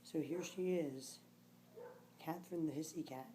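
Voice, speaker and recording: A woman vlogging at home in a casual video diary, speaking hoarsely and faintly, often near a whisper. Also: sits low, measured pace, warm tone, a little hiss or hum, rough recording. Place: at home, lying on the floor.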